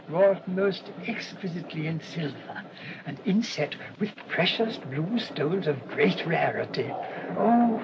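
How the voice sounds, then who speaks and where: An actor playing a humble, earnest English parish priest in a radio play, mild, hesitant and formally wordy. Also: male voice, high voice. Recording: dull, noisy old radio recording.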